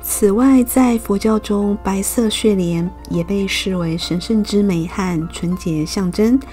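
A young woman from Taiwan, gently narrating a calm voice-over for her watercolor painting tutorial. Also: mid-range voice, faint music behind. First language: Mandarin. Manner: gentle, unhurried explaining. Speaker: watercolor art channel host.

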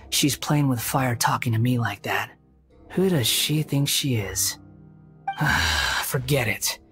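Sharp-tongued, brooding game antagonist, youthful and proud, soft music bed. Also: male voice, medium pitch, expressive, slow, pauses often. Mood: scornful. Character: proud, bitter, sharp-tongued young male puppet. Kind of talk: video game voice acting. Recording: background music under the voice.

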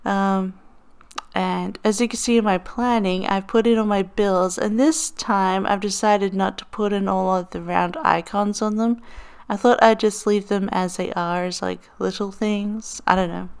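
A woman narrating a planner voiceover in a relaxed, meandering way, full of ums and little laughs. Her voice is smooth and clear, with a touch of morning crackle, at an ordinary middle pitch. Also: unhurried. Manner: chatty, rambling with frequent ums and laughs. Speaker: planner vlogger. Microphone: voiceover over overhead desk footage.

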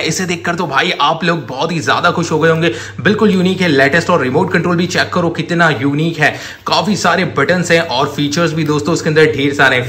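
A man's voice: fairly high pitch, fairly bright tone. A man enthusiastically showcasing products to viewers, gushing and addressing them as friends. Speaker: host of a toy showcase channel. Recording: roomy echo, audible background noise.

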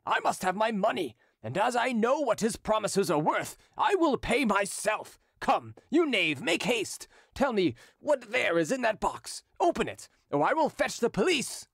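An enraged man demanding his money, as a reader acts him out, shouting accusations in a raised, high voice. The pitch jumps about with his anger, recorded close and dry. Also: big pitch swings, moderate pace, breathy edge, no room sound. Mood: furious. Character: angry male creditor, furious and demanding.

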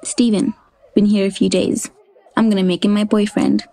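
Woman as brash, deadpan ten-year-old girl. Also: medium pitch, normal speed, vocal fry, close to an everyday voice, poor audio. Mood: cheeky, blunt. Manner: deadpan, cheeky, blunt. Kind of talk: romantic comedy audio drama acting.

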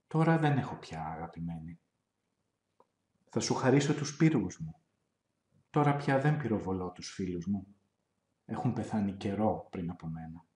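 A man speaking in a measured poetry-reading style, deliberately, with pauses between short phrases. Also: mid-range voice, warm tone. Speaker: poetry reader. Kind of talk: measured poetry recitation and introduction. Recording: roomy, echoing voice recording.

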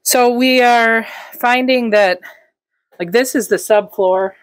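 A young woman casually narrating a walkthrough of a camper she is fixing up, relaxed and chatty with frequent ums. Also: a little high, slow pace. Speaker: vlog host. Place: inside a gutted camper trailer.

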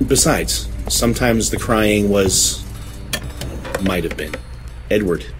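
A man playing a haunted, earnest witness in an audio drama, speaking in a measured, literary, anguished way. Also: fairly low pitch, soft background music. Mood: grave, reflective. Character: haunted, earnest man recounting his friend's horror, pleading his sanity.

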